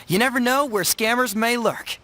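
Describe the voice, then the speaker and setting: A man voicing a witty, smooth-talking young detective in a video game, articulate and theatrical. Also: very high-pitched, normal speed, bright, forceful tone. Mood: showy, knowing.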